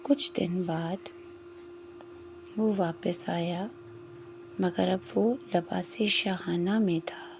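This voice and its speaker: A woman narrating a scripted moral story in short, measured phrases with pauses. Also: fairly low pitch, fairly even intonation, creaky, darkish tone, a little hiss or hum.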